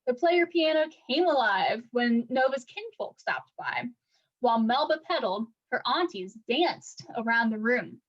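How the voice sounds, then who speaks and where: A woman reading a picture book aloud for children like a teacher, with expressive, deliberate storytelling. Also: on the high side, dull audio.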